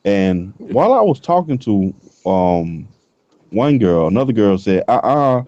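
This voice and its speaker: A man in his thirties from the US South trades rough, laughing stories on a podcast. His voice sits low and mellow. The recording is dry and a little dull. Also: sing-song, a little slow, no echo. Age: 30s. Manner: loose, profane storytelling with frequent laughs. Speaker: podcast co-host. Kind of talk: casual chat.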